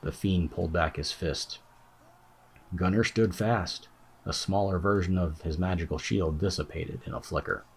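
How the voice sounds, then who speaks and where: A man's voice: deep voice, steady tone, normal speed, slightly rough. A man giving a scripted narration of a fantasy audiobook as its narrator.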